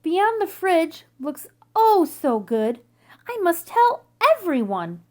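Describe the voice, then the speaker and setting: A woman voicing an eager, adventurous girl blueberry in a storybook, with an excited, earnest manner. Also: very high-pitched, slow, exaggerated voice. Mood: excited wonder.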